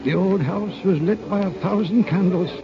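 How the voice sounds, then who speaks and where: Stern grieving old gentleman, high-pitched, measured dramatic delivery, old radio drama, music behind. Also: highs cut off. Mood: wistful reminiscence. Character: stern, grieving elderly guardian uncle, wistful about the past. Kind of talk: 1930s-40s radio drama acting.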